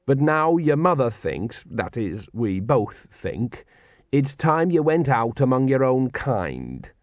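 A man's voice: mid-range voice, sing-song, no echo, studio quality. A man voicing a worried old male dwarf king who hesitates and fumbles for words. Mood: hesitant. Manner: hesitant, halting with ums.

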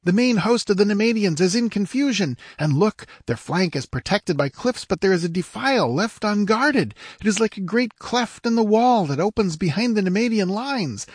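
A man voicing an excitable young squire in a fantasy audiobook, declaiming breathlessly. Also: sits high, normal speed, few pauses, husky, dry recording, clean studio sound, pitched above the performer's own voice. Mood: awed, urgent. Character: young squire, frantic excitable lookout at a battle. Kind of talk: dramatic fantasy audiobook character voice.

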